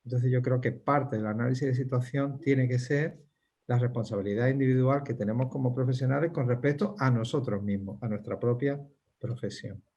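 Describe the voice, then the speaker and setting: A man with a husky, mellow voice speaks earnestly as a panelist in an online round table. The sound comes through a dull, muffled lo-fi line. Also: medium pitch, a little fast. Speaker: panelist, nurse.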